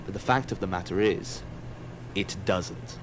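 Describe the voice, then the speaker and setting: Man acting an otherworldly audio drama narrator, lowish voice, condescending, musing, wry, clear rumbling noise behind. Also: unhurried. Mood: detached, condescending. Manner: wry, pompous, digressive. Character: aloof, musing otherworldly narrator observing mortals, easily distracted.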